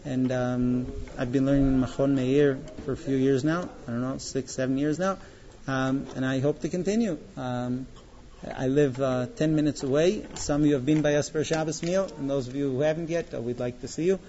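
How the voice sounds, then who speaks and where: A man in his thirties giving an informal classroom talk as a teacher, speaking haltingly with frequent pauses. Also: medium pitch, fairly even intonation, warm tone, slight background noise. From born in Israel, later moved to the US. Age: 30s. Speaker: yeshiva teacher. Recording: dull, lo-fi sound.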